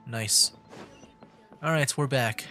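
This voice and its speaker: A man's voice: medium pitch, slow, pushed and bright. Male game streamer, mid-range voice, off-the-cuff laid-back commentary, faint music underneath. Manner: laid-back, rambling, thinking aloud. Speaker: livestreamer playing a video game. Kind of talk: casual gameplay commentary.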